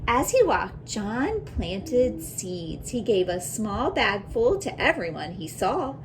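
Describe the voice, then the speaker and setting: A woman reading a children's picture book aloud like a teacher, in a deliberate way, pausing to reflect and ask questions. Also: mid-range voice, very animated, slight background noise, low-quality recording.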